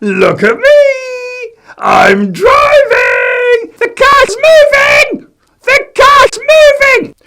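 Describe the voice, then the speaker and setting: A man voicing a wildly excited young boy who squeals and shrieks with glee. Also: very high-pitched, very slow, raised voice, voice pushed up, full character voice. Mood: gleeful.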